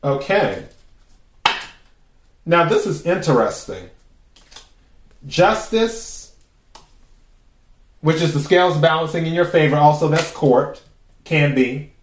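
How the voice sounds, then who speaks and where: A man with a raspy voice hosting a podcast, giving a casual, chatty tarot reading and musing aloud with drawn-out pauses. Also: on the high side, lively intonation, a little hiss or hum. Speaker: tarot reader and podcast host. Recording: roomy echo.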